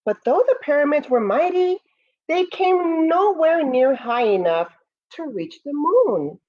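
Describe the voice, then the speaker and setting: A woman's voice: sits high, big pitch swings, measured pace, darkish tone, lo-fi sound. An American woman, a school educator, reading a picture book aloud to children expressively and speaking to them directly. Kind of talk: children's read-aloud with asides to pupils.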